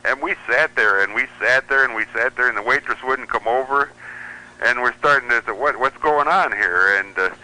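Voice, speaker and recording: A man's voice: medium pitch, moderate pace, bright, forceful tone, low-quality recording. A man, a radio hobbyist and columnist, chatting casually as a guest and telling rambling stories. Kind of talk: casual anecdotal chat.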